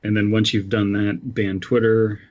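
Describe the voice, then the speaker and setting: A man co-hosting a podcast in casual, joking chat, halting at times with deadpan quips, on slightly lo-fi audio. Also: on the low side. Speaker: podcast co-host.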